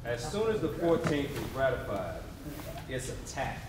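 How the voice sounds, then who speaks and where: A man performing as an impassioned history narrator in a staged reading, with emphatic, deliberate phrasing. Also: medium pitch, very animated, noisy background. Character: impassioned male narrator of civil rights history. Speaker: stage performer in readers theatre. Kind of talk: dramatic staged reading, oratory. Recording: echoey hall, distant mic, audience responses.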